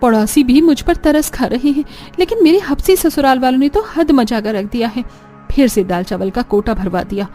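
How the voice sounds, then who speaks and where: A woman's voice: sits high, lively intonation, moderate pace, warm tone, faint music behind. A woman voicing an exasperated young daughter-in-law who grumbles and complains in a cartoon story. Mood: fed up. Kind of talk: Hindi cartoon story acting.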